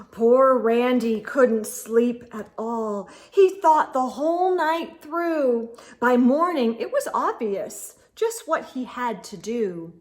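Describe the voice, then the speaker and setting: A woman reading a picture book aloud to children in an animated, dramatic way, with hushed asides to her listeners. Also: fairly high pitch.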